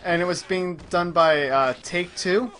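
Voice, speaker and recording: A man chatting casually as he plays a video game, often distracted and halting as he talks. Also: high-pitched, sharp tone. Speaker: video game streamer playing live. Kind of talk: casual gaming chat while playing.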